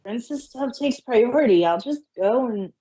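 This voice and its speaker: Easygoing young male dragon, played by a woman, mellow and hesitant, for a cartoon-style audio drama; slightly muffled recording. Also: on the high side, expressive, moderate pace, husky. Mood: resigned.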